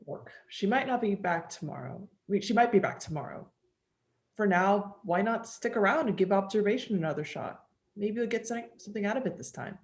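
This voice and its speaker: A woman's voice: medium pitch, animated, fairly quick, natural voice. A woman playing a wry, teasing childcare coworker with a dry manner in a dramatic script reading. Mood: gently encouraging. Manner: dry, sardonic.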